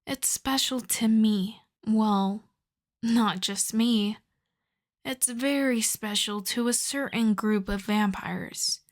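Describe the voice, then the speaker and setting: An obsessive yandere vampire woman acted by a female performer with a fairly high voice. She speaks very slowly in an intimate ASMR roleplay, savoring her words with breathy, possessive hunger. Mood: confiding, possessive. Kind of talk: ASMR roleplay monologue to the listener.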